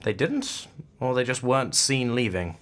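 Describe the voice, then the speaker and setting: A woman playing a brisk, commanding, easily exasperated supernatural investigator with formal speech in an audio drama. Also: rumbling low, animated. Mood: businesslike, probing.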